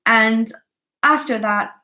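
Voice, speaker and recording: A woman's voice: a little high, slow pace, sharp tone. A woman in her early thirties with a Belfast accent, candidly and earnestly telling her personal story, stressing points by repeating them. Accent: Belfast Northern Irish. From Belfast, Northern Ireland. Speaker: vlogger sharing a personal addiction story. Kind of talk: confessional personal storytelling, partly from notes.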